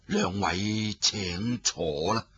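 A man voicing an old male Buddhist abbot who speaks in a slow, solemn, heavy way. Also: on the low side, fairly bright tone, slightly rough, dull audio, voice pushed down, heavily acted. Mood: grave. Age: older.

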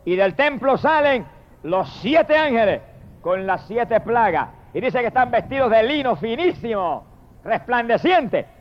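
A man with a high voice preaches a fervent sermon, calling out praise to God again and again between long, insistent appeals. The recording is dull and lo-fi, with the top end muffled. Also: very animated, a little slow. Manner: impassioned, repetitive exclamations of praise.

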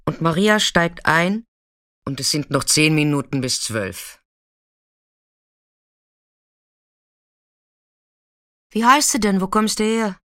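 A woman's voice: fairly low pitch, big pitch swings, measured pace, bright, forceful tone, dry recording, clean studio sound, exaggerated voice. An actress playing a chatty, caring, streetwise female sex worker in an intimate, colloquial radio drama monologue. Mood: storytelling.

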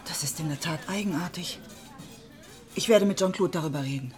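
Actress as loyal, challenging wife in radio drama: controlled, pointed delivery, faint music underneath. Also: female voice, lowish voice, brisk, a little mellow, natural voice. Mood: guarded, cool. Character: guarded, challenging wife defending her husband.

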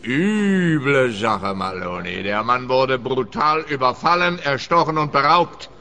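An actor playing a dismissive, self-assured police officer in a radio play, curt and condescending. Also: male voice, medium pitch, lively intonation, unhurried, music in the background. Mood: condescending, certain.

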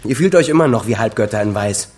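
An actor playing a bitter, wounded grown son who speaks in a reproachful, sardonic way in a radio drama. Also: male voice, lowish voice, lively intonation, quick pace, darkish tone, lightly acted. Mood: bitter, contemptuous. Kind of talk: German radio drama dialogue.

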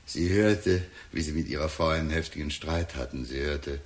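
An actor playing a talkative, sly police detective in a radio drama, deliberate and insinuating, with falsely sympathetic musing. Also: male voice, a bass voice. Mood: insinuating, measured. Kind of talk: German radio drama acting.

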